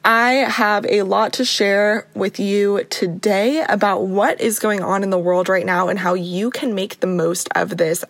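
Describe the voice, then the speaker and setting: A young woman hosts a self-help podcast in a bright, encouraging, chatty way. Her voice is a little raspy today from a sore throat. The recording is close and dry, with no room sound. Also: medium pitch, no echo. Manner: warm, encouraging, chatty with laughs. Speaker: podcast host. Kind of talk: upbeat motivational podcast talk.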